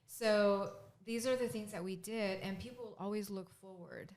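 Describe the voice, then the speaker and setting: Middle-aged woman, native Chinese speaker, podcast guest, short relaxed answers, close studio mic. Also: mid-range voice, moderate pace. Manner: brief, relaxed, laughing answers. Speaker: guest, property management business owner. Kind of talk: casual interview answers. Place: home studio office. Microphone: studio mic on boom arm, headphones.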